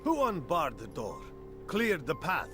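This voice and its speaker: A taunting slave trader. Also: male voice, a little high, huge pitch swings. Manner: taunting, slow and theatrical. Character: scheming male slave trader, mocking and cold. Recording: game cutscene over loud music score.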